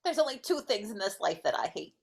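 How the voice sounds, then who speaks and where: Woman as a whiny, animated little rat sidekick. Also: mid-range voice, fast talker, creaky, slightly rough, full character voice. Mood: whiny, anxious. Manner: whiny, excitable comic complaining. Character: timid, silly, jelly-bean-loving male rat sidekick. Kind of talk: live dramatic reading.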